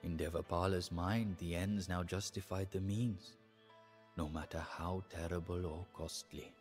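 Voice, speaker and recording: An actor playing a sorrowful, devout adviser to an Indian emperor, narrating the story in a solemn, measured, theatrical way for a game. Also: male voice, low-pitched, fairly even intonation, soft background music. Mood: grave, foreboding. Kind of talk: dramatic scripted storytelling narration.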